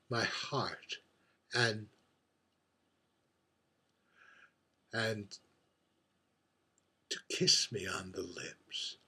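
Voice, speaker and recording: A man acting out a heartbroken, boozy drag queen in a stage monologue. The voice is husky and breathy, and the words come very slowly, with theatrical pauses. The delivery is wistful and confiding, like someone pouring their heart out at a bar. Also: fairly low pitch, fairly bright tone, exaggerated voice. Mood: lonely, pleading. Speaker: writer reading own monologue.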